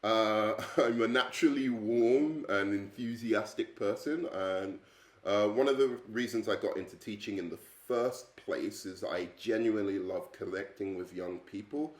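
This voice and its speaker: English man in his thirties, low voice, halting self-intro, roomy echo, earnest teacher. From England. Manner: hesitant, many ums and uhs; earnest, friendly.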